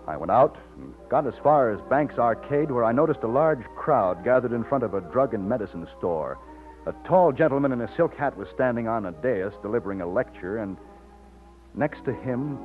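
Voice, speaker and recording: A low, rough-edged male voice an actor's as a loyal plantation overseer and veteran, plain-spoken and a little hesitant. It comes from a lo-fi old radio play with music. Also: close to an everyday voice. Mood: reflective. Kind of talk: old-time radio drama acting with narration. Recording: muffled lo-fi old radio recording.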